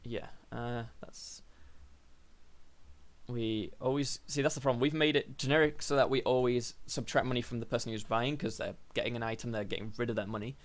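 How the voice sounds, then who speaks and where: A young man with a slightly croaky voice, hosting a casual coding tutorial and thinking aloud with fillers and self-corrections. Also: medium pitch, steady tone, fairly quick. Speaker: programming tutorial host.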